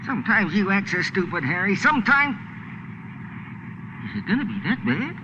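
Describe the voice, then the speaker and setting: Casting: querulous, uneducated American sidekick, very high-pitched, 1940s radio drama, noisy vintage sound. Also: male voice, very animated, normal speed. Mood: hurt, needy. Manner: folksy, ungrammatical, querulous. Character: needy, boastful, uneducated deckhand sidekick, a man. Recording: muffled, noisy old radio recording.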